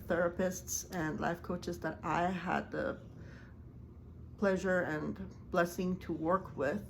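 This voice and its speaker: A woman whose first language is Farsi talks to camera as a life coach, her voice sitting slightly low. She is earnest and halting, with plenty of ums and restarts. Faint noise hums under the recording. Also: gravelly, poor audio. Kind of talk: casual talk to camera.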